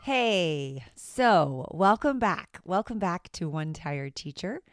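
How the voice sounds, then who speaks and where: Raspy woman host, low voice, dry close sound, chatty and encouraging. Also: very animated, slow pace, no room sound, faint music behind. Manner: chatty, encouraging, laughs often. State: hoarse from strained vocal cords. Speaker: podcast host, former teacher. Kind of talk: casual solo podcast talk.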